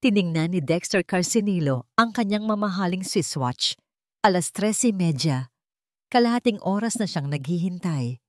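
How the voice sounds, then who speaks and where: A woman narrator tells a romance drama with a very animated delivery. Her voice sits a little low for a woman, and the recording is dry and close. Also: moderate pace, no room sound. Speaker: story narrator.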